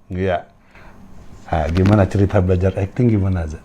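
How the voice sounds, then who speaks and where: A man's voice: sits low, fairly even intonation, moderate pace, dark tone, highs cut off. A middle-aged man hosting a casual interview and teaching acting, chatty and joking as he prompts with questions.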